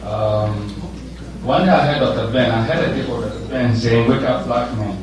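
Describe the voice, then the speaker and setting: A man from Ghana sharing his personal story in a relaxed, conversational way, chuckling now and then. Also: medium pitch, normal speed, a crowd in the background. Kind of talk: personal testimony. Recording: echoey room, distant mic, background noise.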